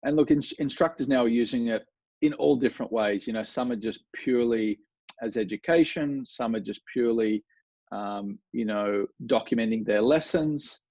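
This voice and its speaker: A man's voice: mid-range voice, moderate pace, no echo. Australian male guest, easygoing and meandering, muffled close dry audio. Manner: relaxed, rambling with many fillers and restarts. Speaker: golf coach, guest. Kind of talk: casual conversational interview.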